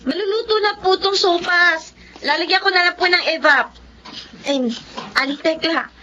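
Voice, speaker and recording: Respectful but indignant young Filipino kitchen helper, emotional, very high-pitched, noisy lo-fi radio drama. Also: female voice, normal speed, pushed and bright. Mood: polite, uneasy. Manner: plaintive, emotional. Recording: dull lo-fi, noisy track.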